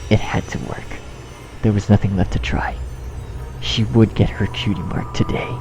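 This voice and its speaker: A man's voice: lowish voice, gravelly, rough recording. A man reading a scripted story as narrator, in a dramatic storytelling style. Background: music.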